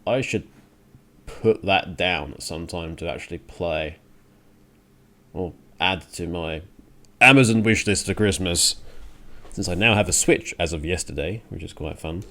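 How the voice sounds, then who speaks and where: A man's voice: sits low, measured pace, long pauses, bright, forceful tone. A man hosting a music radio show, rambling casually and thinking aloud with hesitant digressions.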